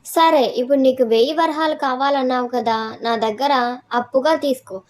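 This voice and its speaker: A woman's voice: high voice, fairly even intonation, a little fast, a little mellow, close to an everyday voice. A woman voicing a friendly, helpful demoness in a village moral tale.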